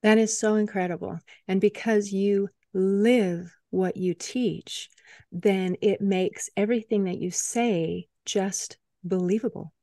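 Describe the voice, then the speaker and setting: A woman's voice: mid-range voice, steady tone, slow pace, mellow, no room sound. Enthusiastic woman host, dry sound. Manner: enthusiastic, affirming. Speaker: podcast host. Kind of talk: casual interview talk.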